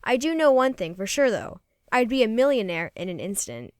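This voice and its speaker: A woman reading a children's story aloud in an expressive, folksy first-person storytelling manner. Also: on the high side, fairly quick, clear, resonant tone, no room sound, studio quality. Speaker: storybook reader.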